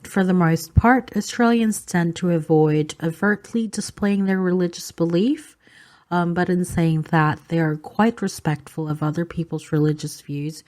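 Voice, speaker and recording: A low-voiced woman from the Philippines, Filipino her first language, speaking in a calm, informative vlog manner. Her tone is mellow and warm, and she pauses on little "um" fillers as she explains. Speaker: vlogger. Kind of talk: explaining culture to camera.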